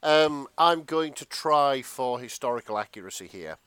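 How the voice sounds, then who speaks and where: Animated man bantering, dry close sound. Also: medium pitch, normal speed, clear, resonant tone, no echo. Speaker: podcast co-host. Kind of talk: casual comic banter.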